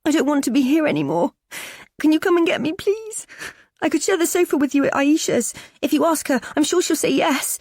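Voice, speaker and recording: A woman's voice: animated, fairly quick, darkish tone, no echo, clean studio sound. A woman doing a sobbing teenage girl. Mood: desperate. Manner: tearful, pleading, high and strained.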